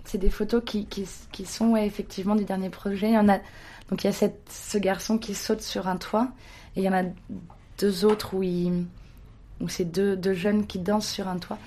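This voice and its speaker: A woman's voice: medium pitch, flat delivery, moderate pace, mellow, slight background noise. A young woman, a photographer talking about her work in an interview, hesitant with many restarts. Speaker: photographer guest. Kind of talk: casual interview talk.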